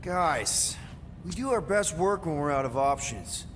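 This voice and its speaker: An actor playing a brash, scrappy leader of a space-outlaw crew in a video game. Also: male voice, on the high side, animated, measured pace, a bit bright, a little hiss or hum. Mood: urgent, wry. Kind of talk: cinematic video game acting.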